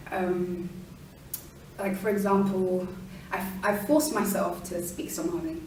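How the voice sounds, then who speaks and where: A woman's voice: medium pitch, fairly even intonation, measured pace, a little mellow, a little hiss or hum. A young woman, musing in an interview and hedging her words, in an indoor room. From London, England. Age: young adult. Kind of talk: reflective interview answers. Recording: echoey room, mic far off.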